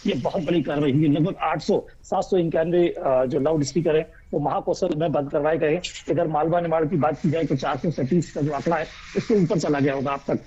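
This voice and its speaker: A man reports live as a bureau chief. His voice sits high but has a soft, dark tone. He speaks emphatically and wordily, repeating phrases as he builds his point, and the link sounds dull and muffled. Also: steady tone, fairly quick, few pauses, slightly rough. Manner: emphatic, wordy, repetitive phrasing. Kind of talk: unscripted live news reporting.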